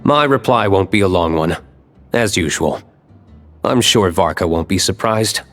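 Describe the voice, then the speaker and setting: Low-voiced, stoic former knight. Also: male voice, animated, unhurried, fairly bright tone. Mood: resolute, serious. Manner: reserved, terse, serious. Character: stoic, guarded, brooding nobleman, aloof former knight. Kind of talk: video game voice acting. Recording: background music under the voice.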